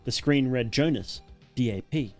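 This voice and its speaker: A man narrating a horror story as a livestreamer, dramatic and solemn, in deliberate, weighted phrases. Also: mid-range voice, soft background music.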